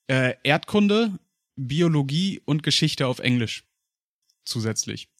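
A man's voice: medium pitch, sing-song, slow pace, no room sound, clean studio sound. A young German man co-hosting a podcast, telling rambling personal stories in casual chat, with fillers and frequent laughs. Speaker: podcast co-host.

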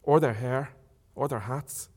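A reserved young man who is weighed down and careful with his words, read by a male author in his own voice. He answers softly and hesitantly. His pitch stays in an ordinary middle range. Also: measured pace, slightly rough. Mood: guarded. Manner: hesitant and subdued. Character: guarded, nervous young man, quietly reserved.

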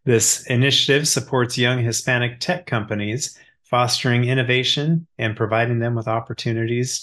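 A man's voice: mid-range voice, sharp tone. A man hosting a podcast interview, reading a scripted guest introduction and asking questions in an upbeat way. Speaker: podcast host.

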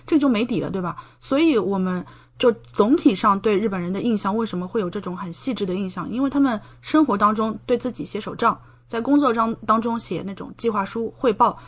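A young woman chatting casually as a podcast guest, explaining things with plenty of concrete examples. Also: medium pitch. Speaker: podcast guest, medical PhD student studying in Japan.

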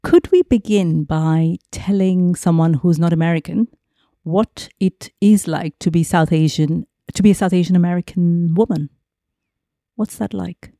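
Woman, podcast host, mellow dark tone, lowish voice, dry close sound. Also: a little slow, no echo. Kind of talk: scripted podcast intro and interview.

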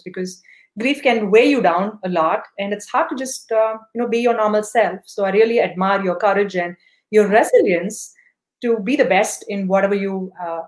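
A woman's voice: mid-range voice, rough recording. Encouraging woman podcast host. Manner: encouraging, full of fillers. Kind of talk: interview hosting.

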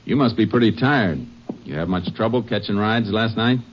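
An actor playing a calm, methodical Texas Ranger in a 1950s western radio drama, American, asking measured, matter-of-fact questions. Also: male voice, lowish voice, close to an everyday voice. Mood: probing, calm. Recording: muffled old radio recording with noise.

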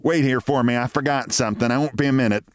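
A man's voice: mid-range voice, quick pace, no room sound, pitched above the performer's own voice, natural voice. A man voicing a nagging, impatient middle-aged wife who fires off fussing questions.

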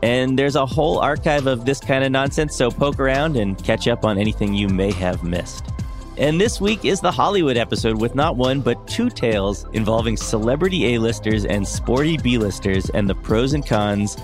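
A man's voice: medium pitch, animated, moderate pace, soft background music. A man hosting a podcast, reading scripted narration in an upbeat, wry way and asking interview questions. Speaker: podcast host.

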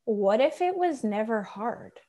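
Upbeat woman interviewer, slightly high voice. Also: expressive, measured pace, warm tone. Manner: enthusiastic and affirming, many "mm" and "yeah" back-channels. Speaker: podcast host. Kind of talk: casual interview chat.

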